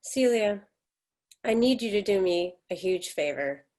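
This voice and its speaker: A woman's voice: medium pitch, expressive, a little slow, a little mellow, close to an everyday voice. Woman playing a firm, stoic American mother of forty-eight. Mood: brisk, controlled. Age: middle-aged. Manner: firm, clipped, insistent. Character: stoic, controlling 48-year-old American mother, curt and short-tempered. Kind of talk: staged play reading.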